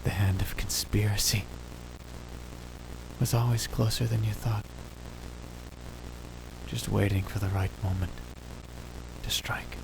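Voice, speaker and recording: A man voicing a dramatic young self-styled mad scientist, narrating in the first person in a hushed, grave, theatrical way. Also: on the low side, fairly even intonation, takes it slowly, vocal fry, pauses often, noisy background. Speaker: narrator and lead in fan dub. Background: music.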